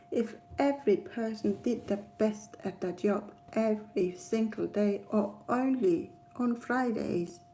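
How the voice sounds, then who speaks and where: A woman with a Danish accent chats casually about her own experiences at a slow, halting pace. Her voice is soft-edged and mellow, and she rambles with frequent pauses. There is a faint noise behind her. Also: fairly high pitch. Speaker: travel vlogger. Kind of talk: casual personal storytelling to camera.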